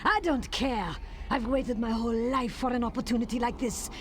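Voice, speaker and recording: Woman acting imperious high-voiced villainess. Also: steady tone, moderate pace, a little hiss or hum, close to an everyday voice. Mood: scornful, determined. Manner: commanding, contemptuous. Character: imperious, ruthless female Nazi villain, driven and scornful. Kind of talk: dramatic game cutscene acting.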